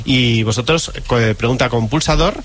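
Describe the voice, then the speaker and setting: A man hosting a comedic radio quiz show, brisk and joking as he steers the talk. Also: mid-range voice, fairly bright tone, a little hiss or hum. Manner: brisk, joking, laughs easily.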